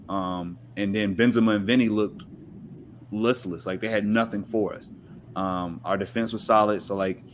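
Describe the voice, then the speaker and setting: A man's voice: on the low side, a little hiss or hum. A man talking casually as a sports fan and podcast guest.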